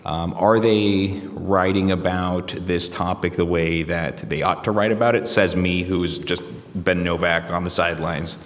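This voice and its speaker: A man with a very low, deep voice answers interview questions at length, pausing on fillers and restarting his sentences as he thinks. He is an American journalist. His voice carries the long reverb of a large hall. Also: steady tone. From United States. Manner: reflective, hesitant with many fillers and restarts. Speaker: journalist guest.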